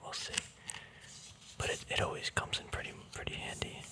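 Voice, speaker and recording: Guy doing asmr, all soft whispering, laid back and chatty like showing off a hobby. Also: moderate pace. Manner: relaxed, informal, chatty. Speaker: ASMR video host. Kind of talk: whispered ASMR, casual card deck walkthrough.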